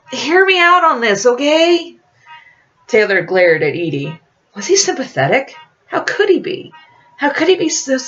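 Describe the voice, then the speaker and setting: Woman, author reading fiction, animated intonation, expressive narration, medium pitch, slightly lo-fi sound. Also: distant mic. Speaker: author reading own novel aloud. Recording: dull lo-fi audio.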